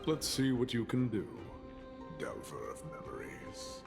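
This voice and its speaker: A man playing a grave, resolute warrior prince in a video game, speaking solemnly in formal, measured phrases. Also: medium pitch, sing-song, vocal fry. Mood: grave, brooding. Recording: music behind the voice.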